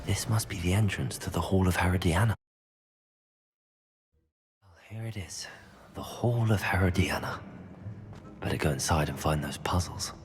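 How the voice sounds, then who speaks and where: An actor playing a confident, curious young student wizard in a video game. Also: male voice, deep voice, normal speed, long pauses, music in the background, lightly acted. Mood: musing. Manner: musing aloud, casting one-word spells.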